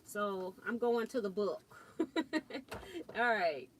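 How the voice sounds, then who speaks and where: A woman hosting a live sewing stream, chatting casually and thinking aloud as she works. She has a loud voice and chuckles often. She is recorded on a laptop mic about four feet away in a home sewing room. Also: medium pitch, takes it slowly, a little hiss or hum. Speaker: quilting and sewing livestream host. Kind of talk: casual live demo chat. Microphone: built-in laptop mic about four feet away.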